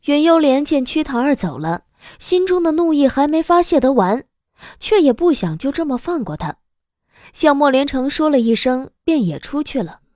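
High-pitched, lively woman narrator. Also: moderate pace, dry recording, studio quality. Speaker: audiobook narrator. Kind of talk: expressive scripted narration of a romance novel.

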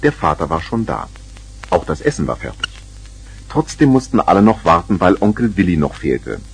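A man's voice: low-pitched, big pitch swings, moderate pace, creaky, music in the background. A man narrating a scripted children's story.

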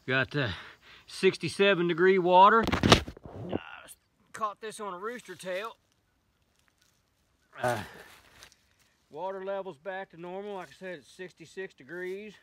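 A man's voice: high voice, a little slow, long pauses. Man, middle-aged, easygoing chatty commentary, outdoors creekside, phone mic, faint background noise. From West Virginia. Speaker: fishing channel host. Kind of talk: casual outdoor fishing vlog. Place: outdoors by a small wooded creek. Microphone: phone camera, sometimes set far off.

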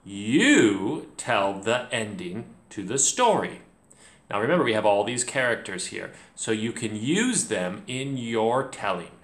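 A man in his thirties leads a children's read-along. His speech is deliberate and clear, with lively intonation, recorded in a roomy space at home. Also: fairly low pitch. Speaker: teacher, host.

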